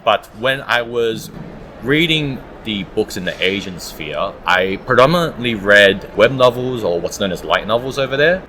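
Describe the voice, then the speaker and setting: Interview guest, male, low voice, conversational, some background room noise. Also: fairly bright tone. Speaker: audiobook producer, interview guest.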